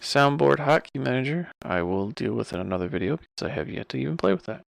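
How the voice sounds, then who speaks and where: Male tutorial host, offhand and informal, heavy vocal fry, close dry sound. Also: medium pitch, very animated, fast, no room sound. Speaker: streamer giving a software tutorial. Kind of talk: casual screen-recorded tutorial.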